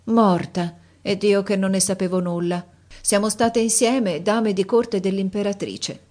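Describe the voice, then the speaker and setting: A woman voicing a fussy, imperious elderly countess in a read-aloud story, speaking in a shrill, scolding manner. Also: fairly even intonation, a little slow, a little mellow, natural voice. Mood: chatty. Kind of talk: audiobook character voice.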